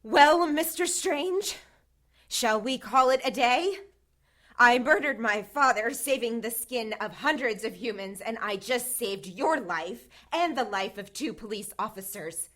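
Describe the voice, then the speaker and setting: A woman's voice: a little high, expressive, a little slow, fairly bright tone. A woman playing a defiant witch in an audio drama, with a sardonic, taunting manner. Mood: cool, sardonic. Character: defiant, sardonic witch who killed her father.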